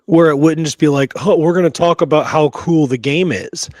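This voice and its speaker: A man's voice: a little high, normal speed, a little mellow, no room sound, studio quality. A man chatting casually and enthusiastically as a co-host, peppering his talk with slangy, friendly words. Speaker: co-host of a history talk show. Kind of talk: casual chat.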